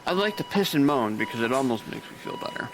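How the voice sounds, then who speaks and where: A man's voice: medium pitch, wildly swooping pitch, fast, background noise. A man playing a burned-out senior hospital nurse who mentors new staff with sarcastic grumbling. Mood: weary sarcastic. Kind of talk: audio drama acting.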